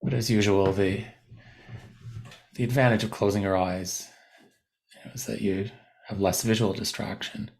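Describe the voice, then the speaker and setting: Male meditation teacher, lowish gravelly register, gentle and slow to move on, instructing with spacious pauses. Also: fairly even intonation. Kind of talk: guided body scan meditation.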